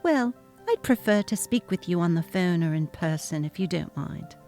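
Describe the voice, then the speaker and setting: A woman reading the part of a calm, kind nurse who speaks softly and reassuringly. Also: on the low side, normal speed, soft background music. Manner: soft, quiet, reassuring.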